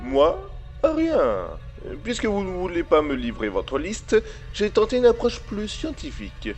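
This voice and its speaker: Cold blackmailing villain guy, a man acting it, smooth and taunting, voice goes up and down a lot. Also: sits high, huge pitch swings, unhurried, darkish tone, faint music behind, full character voice. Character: cold scheming male villain, mocking blackmailer. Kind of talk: audio drama acting.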